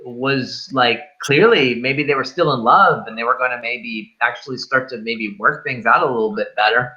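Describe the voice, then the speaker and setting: A man's voice: medium pitch, normal speed, sharp tone, highs cut off. A man in a casual film discussion, rambling and thinking aloud as he reviews. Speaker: host of a film review show.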